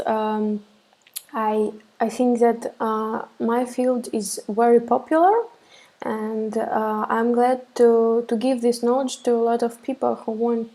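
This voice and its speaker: Woman in her thirties, webinar instructor on a webcam, slow and gravelly, halting delivery full of fillers. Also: a little high, dark tone. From Russia. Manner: hesitant, many fillers and restarts. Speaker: web development instructor. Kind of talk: live webinar presentation. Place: small room indoors. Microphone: webcam on a laptop.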